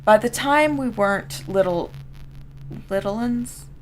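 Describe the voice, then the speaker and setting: A woman's voice: mid-range voice, a little slow, a little hiss or hum, voice pushed up, natural voice, poor audio. A woman voicing a folksy, streetwise street-gang member telling an old story, with a Southern US accent. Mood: reminiscing. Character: rough street-gang storyteller, plain-spoken old comrade of a boss. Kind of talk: dramatic first-person story reading.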